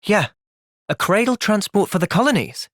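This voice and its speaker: A young man playing a thoughtful, earnest young soldier in video game cutscenes, speaking in a gentle, reflective manner. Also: sits high, very animated, normal speed, no room sound, studio quality, heavily acted. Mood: calm, reflective.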